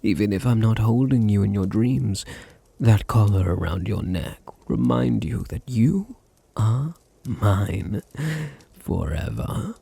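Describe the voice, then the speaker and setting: A British man voicing a possessive, seductive vampire noble in an intimate ASMR roleplay, teasing and soothing, often dropping into a breathy whisper. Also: fairly low pitch, expressive, mellow, dry recording, full character voice. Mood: tender, possessive. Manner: slow, soothing, teasing, condescendingly tender. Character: dominant, possessive, seductive male vampire noble doting on his servant.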